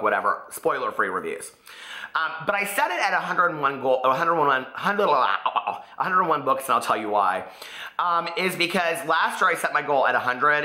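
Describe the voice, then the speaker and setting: Rambly guy talking books to camera, voice kinda shot, sharp bright sound, echoey room. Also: mid-range voice. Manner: chatty, rambling, expressive. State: voice partly lost, recovering. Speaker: book vlogger. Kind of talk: casual chat to camera.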